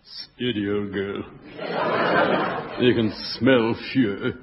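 Lowish, slow, clipped posh hero, hissy old radio sound. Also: male voice, fairly even intonation. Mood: stiff. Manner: clipped, understated heroics. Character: stiff-upper-lip posh man, clipped hero of old films. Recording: dull 1960s radio recording with hiss.

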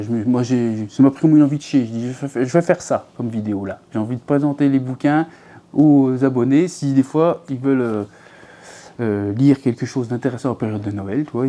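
Casual home vlogger, man in his thirties, mellow tone, rambling and full of fillers. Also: mid-range voice. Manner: chatty, rambling, many fillers and restarts. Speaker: fishing channel host. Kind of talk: casual chat to viewers. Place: living room at home, seated in an armchair.

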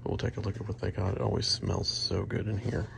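A man's voice: low-pitched, quick pace, gravelly. A man casually narrating a walk-through of a large indoor antique mall, filmed on a handheld phone. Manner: relaxed, chatty, steady. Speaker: antique booth owner touring a mall. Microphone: handheld phone camera. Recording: shop noise behind voice. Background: shop ambience.

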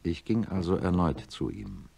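An actor playing a reflective professor who narrates a radio play in the first person, soberly, in a deep, husky voice. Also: male voice, very animated, normal speed, darkish tone, full character voice. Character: reflective male professor recounting his patient's story.

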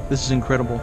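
Young guy on a kayak out on the water, slow talker but keeps gasping in awe, action cam mic, music in the back. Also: low-quality recording. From raised in Oklahoma, born in Kansas. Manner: breathless awed exclamations, often rising high. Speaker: kayak fishing vlogger. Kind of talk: excited outdoor vlog commentary. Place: kayak on open sea, outdoors. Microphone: action camera mounted on kayak.

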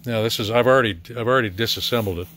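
A middle-aged American man giving a casual, halting, matter-of-fact walkthrough as a repair technician, filming in his workshop. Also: fairly low pitch, a bit bright. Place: cluttered indoor repair workshop. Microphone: handheld camera or phone mic. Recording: faint background noise.

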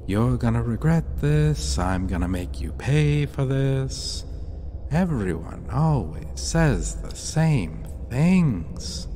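A man playing a vain, possessive vampire captor in a dark fantasy ASMR roleplay, speaking with theatrical, condescending pauses. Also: mid-range voice, big pitch swings, a little hiss or hum. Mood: bored, scornful.

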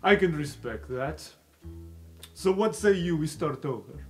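Casting: male player as honourable elephant warrior, formal and slow-spoken, tabletop fantasy, over background music. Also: a little high, animated. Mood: proud, conciliatory. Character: proud, formal elephant-folk warrior guard, earnest and honourable.

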